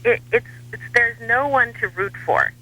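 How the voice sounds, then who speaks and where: An American man giving political commentary as a radio guest, wry and dryly humorous, with measured hesitations. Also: extremely high pitch, animated, sharp tone, low-quality recording. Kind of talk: talk radio interview.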